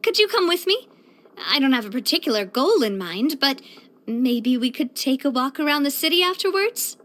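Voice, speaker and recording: A young woman voicing a cheerful, elegant young lady leader in a video game, earnest, chatty and expressive. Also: high-pitched, moderate pace. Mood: playful, eager. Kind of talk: video game character voice lines.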